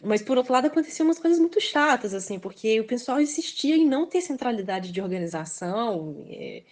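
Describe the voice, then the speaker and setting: Mineira woman chatting in an interview, thoughtful, lots of pauses and fillers, laughs easy. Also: a little high, big pitch swings, a little mellow. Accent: Minas Gerais. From Belo Horizonte, Brazil. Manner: reflective, hesitant, fills with "assim", laughs often. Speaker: guest author. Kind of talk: casual interview conversation.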